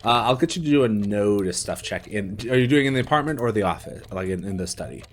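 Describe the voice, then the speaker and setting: A man casually narrating a tabletop game as game master, speaking in a halting, conversational way. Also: on the low side, animated, a little hiss or hum.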